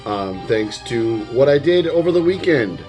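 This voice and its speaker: A man with a slightly hoarse voice giving a casual vlog recap, rambling and hesitant, with the odd casual swear word. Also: medium pitch, sing-song, a little slow, darkish tone. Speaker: music vlogger. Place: at home. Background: metal music playing in the background.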